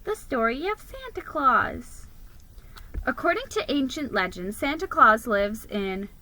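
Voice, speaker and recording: A woman's voice: high-pitched, sing-song, measured pace, pushed and bright, rough recording. A woman giving a dramatic scripted reading of a children's story as its narrator. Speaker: storybook reader.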